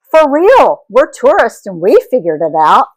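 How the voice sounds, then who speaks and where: Woman acting a lively, emphatic storybook mom. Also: on the high side, a little slow, exaggerated voice. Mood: upbeat. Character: brisk, lively mother of a travelling family.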